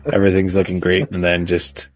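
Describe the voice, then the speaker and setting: A man co-hosting a casual gaming chat, halting and often repeating himself. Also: sits low, monotone, dry recording. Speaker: podcast co-host. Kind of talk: casual chat about video games.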